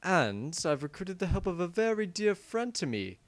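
A man's voice: high-pitched, very animated, moderate pace, no room sound. A man voicing a cheery, scheming male thief in an amateur parody game dub, with blithe, matter-of-fact delivery. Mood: breezy, scheming.

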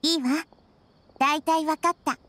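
High-voiced, gentle little goddess. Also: female voice, fairly even intonation, slight background noise. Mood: calm, explaining. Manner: soft, measured, reflective, polite feminine phrasing. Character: gentle, wise child-sized goddess, composed and thoughtful. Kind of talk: Japanese anime game dub.

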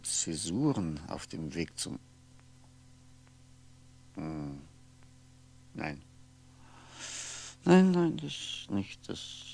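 Gravelly, brooding, lonely aging man. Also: lowish voice, darkish tone, exaggerated voice. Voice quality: gravelly, often sinking into breathy whisper. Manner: halting, brooding, fragmented musing with pauses. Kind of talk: 1960s radio drama monologue. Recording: 1960s radio recording, faint noise.

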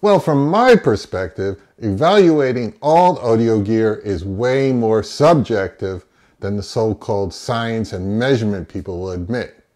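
Middle-aged man, very lively, swinging intonation. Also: on the low side, measured pace. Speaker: audio review channel host. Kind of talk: opinionated talk to camera. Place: small home office, indoors.

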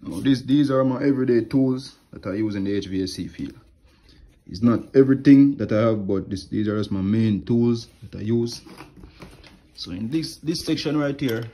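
A man who works as an HVAC technician, showing his tools in a relaxed home vlog and filming his hands with a phone. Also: medium pitch, a little hiss or hum. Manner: relaxed, plain talk with many "like" and "right here". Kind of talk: casual show-and-tell vlog. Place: indoors at home, wooden floor. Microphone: phone camera held close, filming his hands.

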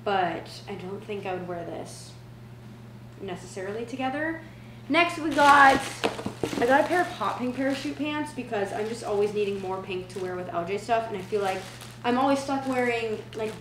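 A young woman in her twenties chats informally to a camera, with a mid-range voice. She sounds like she is vlogging in a roomy home kitchen, with echo around her words and clear background noise. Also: medium pitch, moderate pace. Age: 20s. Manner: chatty, informal, slangy asides. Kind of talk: casual vlog chat, try-on haul. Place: indoors, open-plan home kitchen and living area. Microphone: camera mic a few feet away.

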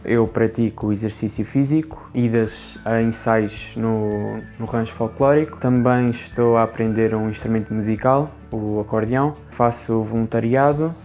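A man's voice: fairly low pitch, steady tone, moderate pace, hardly any pauses, a little mellow, faint music behind. A twenty-year-old man with a European Portuguese accent, speaking in short, plain statements. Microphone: lapel mic.

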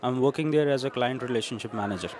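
A man's voice: medium pitch, very fast, background noise. A young man from central India with an Indian English accent, formally introducing himself on a handheld mic in an indoor room. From Madhya Pradesh, India. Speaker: business school alumnus. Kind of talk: short self-introduction to camera. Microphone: handheld microphone.

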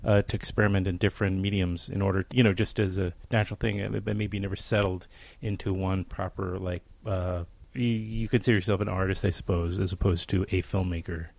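Man, podcast host, rambling questions, very deep voice, dry close sound. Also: fairly even intonation, a little fast, vocal fry, few pauses, darkish tone, no room sound. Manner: rambling questions with many fillers and restarts. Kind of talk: casual interview hosting.

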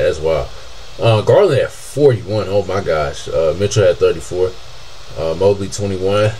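A young man with a deep voice reacting casually to basketball highlights, hollering and trash-talking in slang from a small room on a desk mic. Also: a little hiss or hum, low-quality recording. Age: young adult. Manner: excitable, yelps and hollers, slangy trash talk. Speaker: sports reaction streamer. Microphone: webcam and desk mic.

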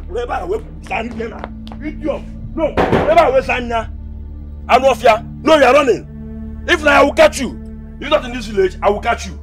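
High-pitched, hot-headed Nigerian man. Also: brisk, over music. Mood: furious, threatening. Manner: ranting, taunting, repeats threats. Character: hot-headed, threatening male villager. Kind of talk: Nollywood film acting.

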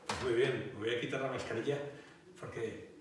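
A man in his forties from Barcelona with a Spain accent, explaining faith in a measured way as a priest being interviewed. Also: mid-range voice. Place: indoor hall with wooden bench. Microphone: small mic held out at arm's length by interviewer. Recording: very echoey room, distant, faint noise.

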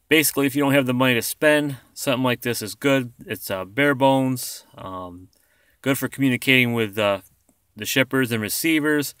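A man's voice: mid-range voice, bright, forceful tone, clear, resonant tone, dry recording, clean studio sound. An American man in a casual, rambling, self-deprecating vlog, showing off gear as he talks. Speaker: vlogger, former truck driver. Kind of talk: casual product showcase vlog. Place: inside a parked vehicle, later outdoors beside it.

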